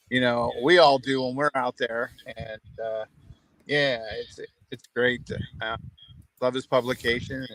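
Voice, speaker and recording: Hoarse male co-host, halting casual sports talk, dry close sound. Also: medium pitch, fairly bright tone, no room sound, low-quality recording. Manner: halting, many ums and restarts. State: hoarse, losing his voice. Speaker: co-host of a local sports show.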